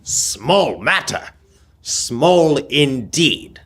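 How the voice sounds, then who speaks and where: A man playing a wistful, easily moved old miser in a radio play, halting and exclamatory. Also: mid-range voice, big pitch swings, a bit bright, breathy edge, exaggerated voice. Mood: defensive, troubled. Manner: halting, exclamatory, stammering with emotion. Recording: music under the voice. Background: music.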